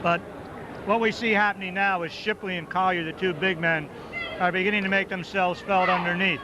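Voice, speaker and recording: Loud high-voiced man calling a basketball game. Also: steady tone. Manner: rapid clipped play calls, partisan for home team. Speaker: play-by-play announcer. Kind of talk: live basketball play-by-play. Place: high school gym. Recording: muffled 1990s local broadcast tape, loud gym crowd. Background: crowd noise, ball bounces.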